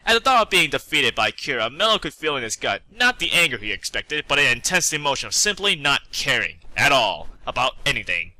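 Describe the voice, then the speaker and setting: Male reader for fan fiction narration: very bright, forceful tone, animated pitch. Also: brisk, hardly any pauses, rough recording. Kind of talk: dramatic read-aloud narration.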